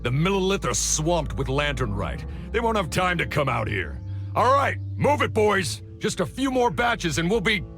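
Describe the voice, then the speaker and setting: An actor playing a cocky, crude bandit boss who talks in slang and swaggers, in a game cutscene. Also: male voice, sits high, sing-song, normal speed, a bit bright, soft background music, full character voice. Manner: slangy, swaggering, stammers when caught.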